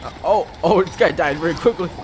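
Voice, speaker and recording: A man's voice: a little high, fast talker, noisy background. A man giving casual, slangy gameplay commentary, reacting with amusement as he plays. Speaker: gamer commentating on playthrough. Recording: loud game music under voice.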